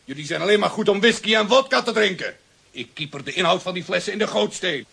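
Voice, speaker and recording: A man's voice: sits high, animated, fast, gravelly, noisy background, heavily acted. An actor playing a contemptuous male gangster boss who berates his men in a children's radio play. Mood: scornful.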